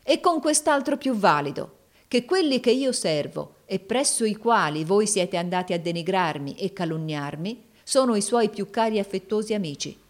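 A woman voicing a righteous, defiant young gentleman in an audiobook novel, emphatic, declamatory and commanding. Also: medium pitch, lively intonation, moderate pace, voice pushed up, lightly acted.

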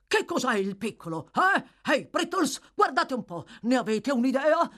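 Woman playing a pompous shouting manservant, pitched high. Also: big pitch swings, normal speed, full character voice. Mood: startled, blustering. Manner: bawling, self-important, exclamatory. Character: pompous, boastful male servant, excitedly proud. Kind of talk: audiobook character voice.

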